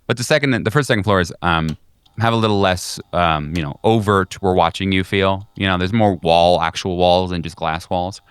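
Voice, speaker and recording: A man narrating a tabletop horror game as game master, improvising in a conversational, halting, descriptive way. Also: low voice, a bit bright, clear, resonant tone, no echo.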